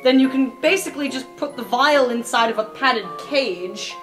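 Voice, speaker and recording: Practical student inventor played by a woman, slightly high voice, casual explainer, fantasy tabletop game, faint music. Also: normal speed, fairly bright tone. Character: matter-of-fact inventive student engineer Gary. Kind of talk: improvised tabletop roleplay.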